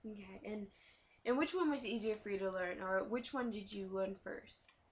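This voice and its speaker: A young woman student interviewing someone for a class, asking halting questions with many fillers. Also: medium pitch, muffled recording. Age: young adult.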